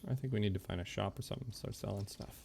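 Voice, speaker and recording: Male streamer, deep-ish creaky voice, low-key casual gameplay commentary, musing. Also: rapid-fire, a little mellow, a little hiss or hum. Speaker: game streamer. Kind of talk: casual live gameplay commentary, sometimes reading game text aloud. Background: faint game music.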